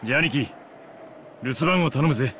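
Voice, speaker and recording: An actor playing a bold, cocky middle-aged man who talks in a rough, casual way. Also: medium pitch, rapid-fire, fairly bright tone, faint music behind, close to an everyday voice.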